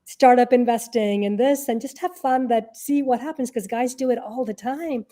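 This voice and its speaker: A woman's voice: a little high, normal speed, mellow. A woman giving conversational interview answers as a guest, explaining informally and often posing rhetorical questions. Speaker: interview guest, real estate and angel investor.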